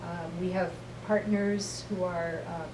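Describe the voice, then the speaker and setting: Middle-aged woman, halting with many ums, roomy echo, noisy background. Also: on the low side, steady tone. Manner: thoughtful, frequent ums, slow and halting. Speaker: interviewee, company representative. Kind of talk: interview answers. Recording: roomy, distant mic, noisy ambience.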